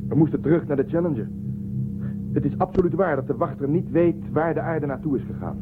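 An actor playing a sober, resigned spaceship commander in a science fiction radio drama, matter-of-fact and restrained. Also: male voice, on the high side, brisk, close to an everyday voice. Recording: narrow phone-like sound, music behind.

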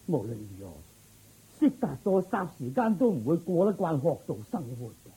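An actor playing a commanding, doting king in a radio drama, speaking with deliberate authority. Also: fairly high pitch, very animated, mellow, breathy edge, heavily acted, low-quality recording. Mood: worried, pondering. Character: commanding, doting king worried for his son.

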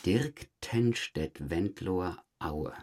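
An actor playing a methodical, calm police detective in an audio crime drama, measured, understated and politely insistent. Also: male voice, low voice, slight background noise, full character voice. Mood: calm, probing. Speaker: police investigator.

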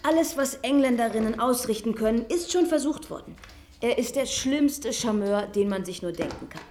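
A woman's voice: a little high, animated, moderate pace, darkish tone, slight background noise, natural voice. A woman playing a worldly young society lady in a German radio play, teasing and arch in her way of talking. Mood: teasing, amused.

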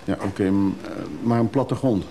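An actor playing a fussy, nervous, rambling traveller in a radio drama, with a hesitant, dry way of talking. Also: male voice, lowish voice, brisk, dark tone, close to an everyday voice. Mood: fussy, polite. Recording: noisy radio play recording.

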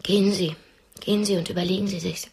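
A woman's voice: medium pitch, fairly even intonation, fast, creaky, noisy background, close to an everyday voice. An actress playing a defiant, demanding young captive woman in a radio drama, with a clipped, insistent way of talking.

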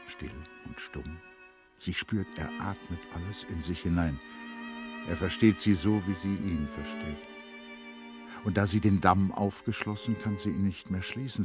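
A man narrating a radio play in a measured, literary storytelling style. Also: low-pitched, music in the background.

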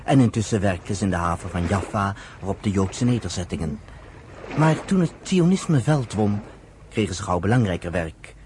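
A man narrating a radio play as an expressive, deliberate storyteller. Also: on the low side, a little hiss or hum, natural voice.

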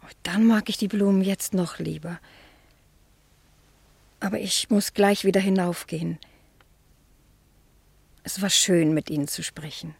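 An actress playing a timid, naive, sheltered niece who speaks shyly and hesitantly, in the style of a 1960 German radio play. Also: female voice, fairly low pitch, steady tone, unhurried, long pauses, no room sound, heavily acted. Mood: shy, pleased. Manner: hesitant, breathy, shy.

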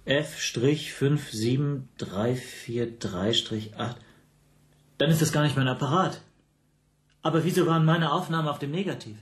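A man's voice: a little high, measured pace, lightly acted. An actor in a radio drama playing an earnest, easily indignant man under questioning, speaking plainly and precisely. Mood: puzzled. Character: earnest, indignant detained language teacher, an innocent man. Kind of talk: naturalistic radio drama acting.

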